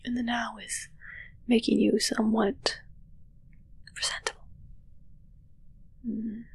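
A young woman playing a haughty, morbidly playful witch in an ASMR roleplay, whispering slowly and breathily in a musing, gently critical way close to the mic. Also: a little high, flat delivery, creaky, slightly rough, no room sound, heavily acted, poor audio. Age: young adult. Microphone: close microphone, seen at close range.

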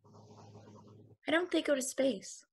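A woman reading as a naive, stubborn space probe with a gravelly voice. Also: high voice, animated, very slow, darkish tone, exaggerated voice. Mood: hesitant. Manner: hesitant, then insistent. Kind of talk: table read over video call. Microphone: video call.

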